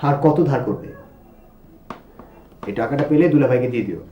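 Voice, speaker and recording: An actor playing a quietly stubborn, generous younger brother in a television drama. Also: male voice, mid-range voice, expressive, a little fast, lo-fi sound, slight background noise, close to an everyday voice. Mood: stubborn, subdued. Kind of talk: TV drama acting. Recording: distant mic, echoey room.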